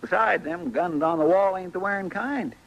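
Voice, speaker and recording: A man's voice: high voice, normal speed, creaky, dull audio. A man acting a fussy, good-natured marshal's helper in an old radio western, talking in a folksy, earnest way with a rural American drawl. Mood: indignant, scolding. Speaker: radio drama actor. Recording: noisy vintage radio recording.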